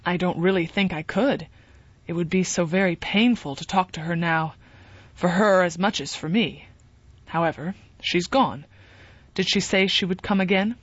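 Gentle young man, measured and calm, in a female reader's own voice. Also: lowish voice, husky, dry recording, lo-fi sound.

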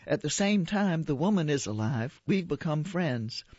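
A woman reading aloud as an older Albanian-born photographer recalling a sea rescue, in a plain steady way. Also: a little high, moderate pace, dry recording. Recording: dull lo-fi radio recording.

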